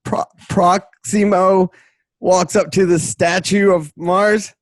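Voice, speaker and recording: A man with a high voice reads stage directions as narrator. He is halting and trips over words, and the sound is dry. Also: fairly even intonation, no room sound. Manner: stumbles over words and restarts sentences. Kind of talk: halting script reading.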